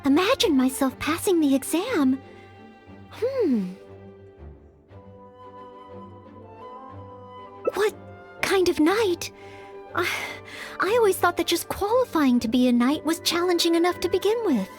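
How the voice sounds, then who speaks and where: A young maid with knightly dreams from an anime video game. Her voice is strikingly high, sweet and girlish. She speaks with earnest politeness and an anxious, hesitant edge, over light music. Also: lively intonation, moderate pace. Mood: unsure, hesitant.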